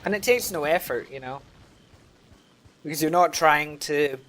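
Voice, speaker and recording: A man's voice: a little high, unhurried, fairly bright tone, a little hiss or hum. A man streaming a game and chatting loosely with his live audience, rambling with lots of filler words. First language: English. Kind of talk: casual chat with a live audience while gaming.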